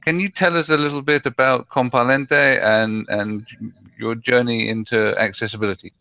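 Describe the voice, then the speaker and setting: A man's voice: mid-range voice, steady tone, moderate pace, sharp tone, no echo, lo-fi sound. A man hosting an interview show, friendly, repeating words as he frames his questions. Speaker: podcast host.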